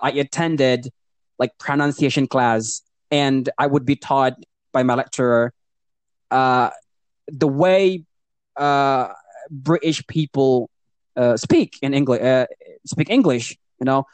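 A man's voice: medium pitch, slow, clean tone, no echo. A young man from Indonesia chatting casually on a podcast, switching between Indonesian and English with lots of fillers and restarts. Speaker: podcast co-host. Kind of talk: casual bilingual podcast chat.

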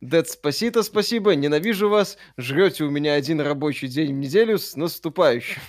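Dry-witted male streamer, slightly high voice. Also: lively intonation, fairly quick, dry recording. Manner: dry, joking remarks. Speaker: stream co-host. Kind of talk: casual chat reading donations.

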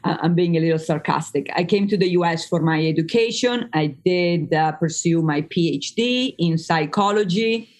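A woman from northern Italy with an Italian accent, a native Italian speaker, chatting as a psychologist guest on a podcast and often pausing with fillers. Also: on the low side. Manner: chatty, with frequent fillers and restarts. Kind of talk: podcast interview.

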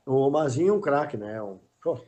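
A man's voice: medium pitch, lively intonation, brisk, dark tone, lo-fi sound. A middle-aged former footballer as a podcast guest, talking in a casual, hesitant way and often repeating words while he thinks. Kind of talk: casual interview chat.

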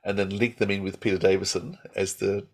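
Husky man thinking aloud on a podcast. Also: sits low, little pitch movement, a little fast. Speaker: podcast co-host. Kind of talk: casual podcast chat.

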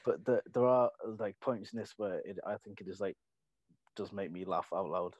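Male co-host, halting delivery, opinionated, dry close mic. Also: deep voice, darkish tone, no echo. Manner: hesitant, lots of restarts, opinionated. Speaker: podcast co-host. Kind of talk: casual podcast chat.

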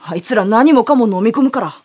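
A woman's voice: a little high, animated, a little fast, darkish tone, natural voice. A woman playing an earnest, dutiful young girl who blames herself, in an audio drama. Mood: worried. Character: young girl, dutiful, anxious, self-blaming lantern bearer. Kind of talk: Japanese audio drama acting.

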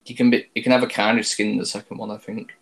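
A man's voice: lowish voice, little pitch movement, racing, fairly bright tone. A man co-hosting a podcast in casual chat, blunt and offhand, often hedging his claims. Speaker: podcast co-host.

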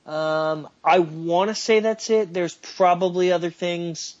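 A man's voice: high voice, steady tone, takes it slowly, highs cut off. A man co-hosting a casual sports podcast, joking and making bold predictions with frequent stammered restarts. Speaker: podcast co-host.

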